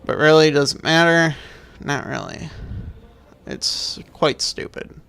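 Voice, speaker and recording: A man's voice: on the high side, animated, slow pace, gravelly, a bit bright, smooth, dry recording. A man giving casual, rambling game commentary as a video maker, dismissive and freely swearing.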